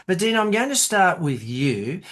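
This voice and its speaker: A man hosting a podcast interview, gently encouraging his guests with slightly rambling questions. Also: high voice, sing-song, normal speed. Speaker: podcast host.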